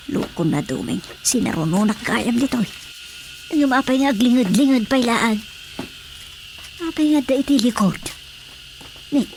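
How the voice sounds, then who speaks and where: A woman's voice: fairly high pitch, a little mellow, slight background noise. A woman playing a nosy, muttering, exasperated village woman in an Ilocano radio drama comedy. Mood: grumbling.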